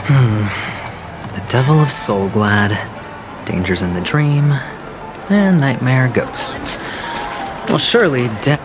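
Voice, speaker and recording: A man's voice: medium pitch, lively intonation, takes it slowly, pauses often, over music. A male actor voicing a bold, scheming young hero in a video game, defiant and sardonic.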